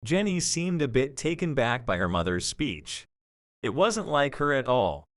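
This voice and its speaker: A man narrating a scripted story for an audio drama in a measured, expressive storytelling manner. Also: mid-range voice, dry recording, clean studio sound.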